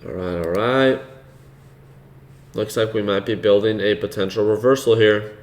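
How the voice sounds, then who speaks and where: Man, deep voice, soothing, relaxed live-stream talk, easygoing with fillers. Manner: relaxed and unhurried, laughs easily, many fillers. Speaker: host of a live trading stream. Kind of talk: casual live-stream commentary, reading chat.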